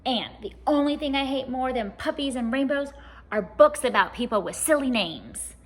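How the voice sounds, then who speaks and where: A woman's voice: sits high, expressive, normal speed, pitched above the performer's own voice. A woman voicing the meanest man in the world, a grumpy bully with a scornful, emphatic manner, in a storybook reading. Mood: scornful, angry.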